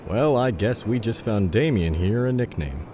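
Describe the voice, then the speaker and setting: A man's voice: on the low side, lively intonation, normal speed, dark tone, a little hiss or hum, natural voice. A man playing a huge, level-headed teammate with a dry, blunt way of talking. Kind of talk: audio fiction acting. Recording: music bed behind the voice.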